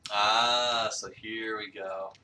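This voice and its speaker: Bright, lowish-voiced man, distant and echoey. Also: flat delivery, very slow. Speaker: streamer co-hosting a visual novel read-aloud. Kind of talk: casual chat and dramatic reading. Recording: echoey room, distant mic.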